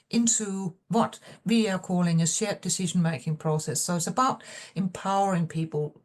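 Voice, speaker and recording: A woman with a Danish accent and Danish as her first language, a professor presenting research in a seminar talk over a video call. She speaks hesitantly, with many pauses and fillers. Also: on the low side, darkish tone.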